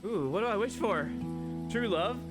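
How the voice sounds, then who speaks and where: A man's voice: high voice, normal speed, faint music behind. A man reading a visual novel game aloud with playful drama and casual, sweary chat. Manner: animated, casual, profane.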